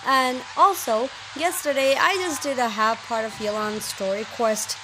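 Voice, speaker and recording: High-voiced chatty woman gamer. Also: fairly even intonation, a little slow, noisy background. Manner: chatty, rambling. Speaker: gaming video creator. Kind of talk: casual gameplay commentary.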